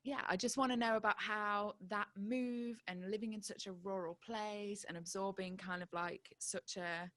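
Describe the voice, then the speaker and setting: A woman's voice: medium pitch, steady tone, normal speed, few pauses, a bit bright. Effusive woman hosting an interview. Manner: effusive, informal. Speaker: host, interviewer. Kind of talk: casual interview chat.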